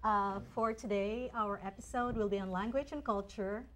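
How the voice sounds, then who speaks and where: A woman's voice: mid-range voice, moderate pace, a bit bright. A woman from the Philippines hosting a studio panel talk show, friendly and teasing as she leads the talk.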